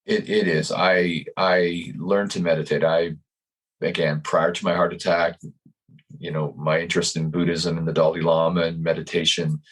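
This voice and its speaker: Low, husky-voiced man talking calmly. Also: moderate pace. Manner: calm, searching, many restarts and repeated words. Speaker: podcast guest. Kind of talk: reflective interview talk. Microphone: video call.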